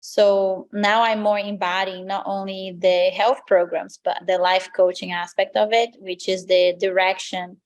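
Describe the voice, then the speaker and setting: A woman's voice: medium pitch, level tone, a little slow, few pauses. A woman from Brazil with a Brazilian accent, a native Portuguese speaker, answering interview questions as a lifestyle coach in an earnest, motivational way. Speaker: lifestyle coach, podcast guest.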